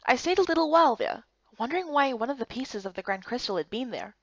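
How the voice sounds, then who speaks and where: Woman acting an earnest, high-voiced young game heroine. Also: fast talker, no echo, lo-fi sound, exaggerated voice. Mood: thoughtful. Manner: expressive, emphatic delivery. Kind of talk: visual novel game character dub.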